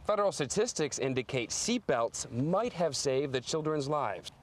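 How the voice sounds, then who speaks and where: A man's voice: fairly high pitch, lively intonation, normal speed, a little hiss or hum. A man narrating a scripted investigative news report as a television reporter.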